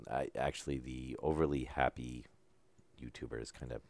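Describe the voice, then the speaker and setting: A man calmly talking through a survival game as he plays, low-key and unhurried, with no put-on enthusiasm. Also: gravelly. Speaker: gameplay commentator. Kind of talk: casual let's-play commentary.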